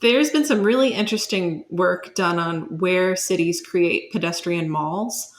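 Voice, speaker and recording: A woman's voice: on the low side, measured pace. A woman explaining things conversationally as an expert guest, in a thoughtful manner.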